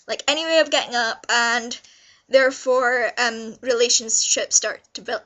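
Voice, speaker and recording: Young female vlogger, notably high and bright voice, informal rambling enthusiasm for a casual review. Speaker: vlogger reviewing visual novels. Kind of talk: casual review chat to camera.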